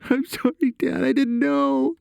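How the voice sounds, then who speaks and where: Audiobook character: frightened, tearful son, played by a man. High, whiny, trembling, pleading delivery. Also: moderate pace, gravelly, dark tone, no room sound. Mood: crying. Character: frightened tearful teenage son confessing on the phone.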